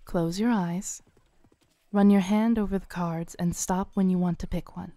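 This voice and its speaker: A young woman playing a fortune teller at a fair in a scripted roleplay audio, with a smooth voice and a soft, coaxing, gently teasing manner, close to the mic. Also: fairly low pitch, steady tone, a little slow, no echo.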